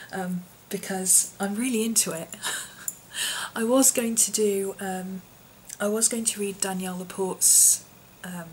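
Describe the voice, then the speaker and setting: A woman, her voice hoarse and husky from an infection, chatting casually in a vlog with lots of ums and small laughs. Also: medium pitch, fairly even intonation, slow, a little hiss or hum, rough recording. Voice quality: husky, often whispery. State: hoarse, voice partly lost from infection. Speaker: vlogger.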